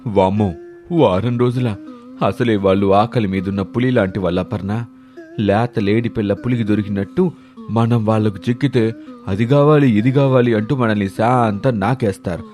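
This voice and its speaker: A man voicing a worried husband in an animated moral story, dramatic and fretting about greedy relatives. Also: on the low side, normal speed, faint music behind, pitched below the performer's own voice, lightly acted. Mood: alarmed.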